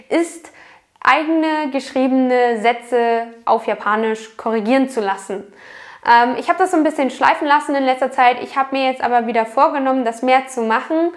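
A young woman talking to camera from a small room at home, explaining language study tips in a clear, explanatory way with frequent fillers. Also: high voice. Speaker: language learning YouTuber.

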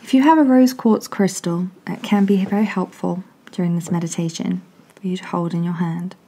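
A woman softly and gently guiding a meditation in a calming, breathy voice with long pauses. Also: fairly low pitch. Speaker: meditation guide. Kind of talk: guided meditation, soothing scripted narration.

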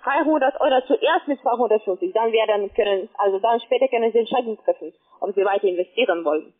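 A woman who is a non-native speaker giving a halting, pressing phone sales pitch full of questions over a narrow phone connection. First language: not German. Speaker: phone sales agent, account manager. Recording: narrow tinny phone line.

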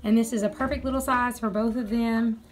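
A woman's voice: a little high, steady tone, fairly quick. A woman chatting casually while doing farm chores, rambling and laughing often, recorded outdoors and in a barn on a handheld phone. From Tennessee, USA. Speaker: homestead vlogger.

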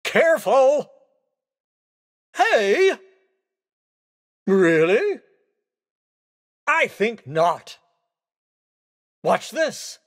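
A man voicing a theatrical, excitable skeleton gentleman in a racing video game, with courteous, exclamatory delivery. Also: very high voice, drawn-out words, pauses often, exaggerated voice. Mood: startled, emphatic. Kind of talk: video game character voice clips.

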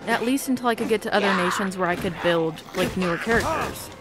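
A woman's voice: medium pitch, fast talker. Chatty woman commentating over game music. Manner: chatty, informal, rambling. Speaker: gaming video host. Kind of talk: casual gameplay commentary.

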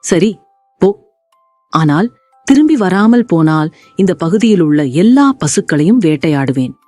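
Woman voicing a commanding storybook tiger, animated pitch. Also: measured pace, no echo, faint music behind. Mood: threatening. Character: big hungry threatening tiger who softens to mercy.

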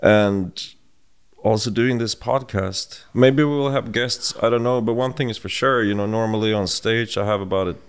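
A middle-aged man with a Swedish accent, co-hosting a podcast in casual conversation, reflective and halting with restarts, recorded on a studio mic in a home room. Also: on the low side, clean tone. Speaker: podcast co-host. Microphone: boom-mounted studio mic.

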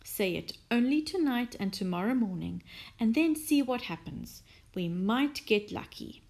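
A woman voicing a clever, courteous gentleman neighbour in a children's storybook, assured and instructive. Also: fairly high pitch, expressive, measured pace, creaky, exaggerated voice. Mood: reassuring.

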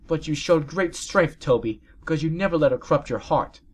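Guy doing a prim motherly pony auntie, a bit higher, slow and emphatic. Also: darkish tone, husky. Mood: indignant. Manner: raised, somewhat lifted voice, slow and emphatic. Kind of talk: reading fan fiction aloud.

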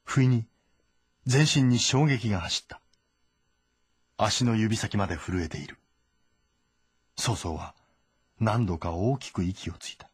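A man reading dramatic scripted narration of a historical novel, in terse, measured sentences. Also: on the low side, husky, dry recording. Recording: voice over background music.

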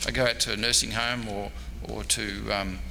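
A man's voice: on the low side, little pitch movement, moderate pace, vocal fry, bright, forceful tone. Rambling older Australian man in an echoey hall. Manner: rambling, self-deprecating, hesitant. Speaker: historian giving a convention talk. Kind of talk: informal off-the-cuff lecture and audience questions. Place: indoor convention meeting room. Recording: echoey hall, background noise.

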